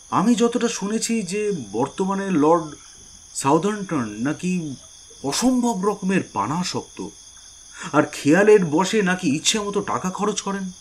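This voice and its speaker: A man voicing a calculating middle-aged uncle who speaks slowly and deliberately, in an audio drama. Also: high-pitched, slight background noise. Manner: slow, deliberate, drawn-out pauses.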